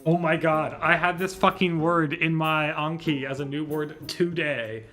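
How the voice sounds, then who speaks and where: A man's voice: on the high side, steady tone, a little slow, sharp tone. Rambling male streamer with music behind. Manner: rambling, thinking aloud, casually sweary. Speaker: streamer reading visual novels. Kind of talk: casual livestream chat.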